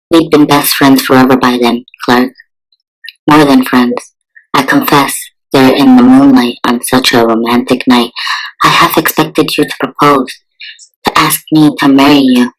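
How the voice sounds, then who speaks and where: A woman's voice: extremely low pitch, poor audio. An actress playing a wistful, heartbroken small-town sweetheart, telling her story in a dramatic motion comic style.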